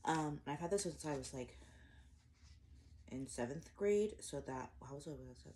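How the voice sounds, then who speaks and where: Young woman, low voice, eat-with-me vlogger; chatty, rambling, self-deprecating. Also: animated, normal speed, warm tone, a little hiss or hum. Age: 20s. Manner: rambling, self-deprecating, many fillers and restarts. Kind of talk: casual eat-with-me chat to camera. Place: indoors at home, eating breakfast.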